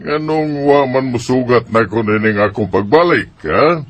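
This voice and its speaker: A man with a Cebuano Filipino accent playing a proud, gruff older father in a Bisaya radio drama. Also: mid-range voice, moderate pace, no echo, natural voice. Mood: proud, dismissive. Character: proud, gruff aging father returning to his family.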